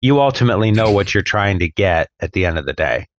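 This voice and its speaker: A man's voice: deep voice, lively intonation, quick pace, slight background noise. A man co-hosting a podcast, explaining things thoughtfully with hesitant restarts, recorded slightly dull and lo-fi. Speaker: podcast co-host. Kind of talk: casual podcast chat.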